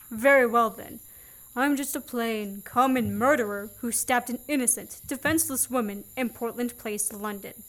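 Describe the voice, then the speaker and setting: A woman's voice: a little high, lightly acted, rough recording. A young woman playing a sardonic, commanding man on the run in a student radio play. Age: young adult. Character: fugitive man, sardonic, bossy, wrongly accused.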